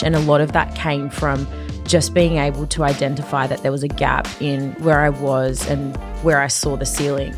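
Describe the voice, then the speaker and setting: A young woman, a business founder talking casually in a podcast interview, chatty and full of restarts. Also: low voice.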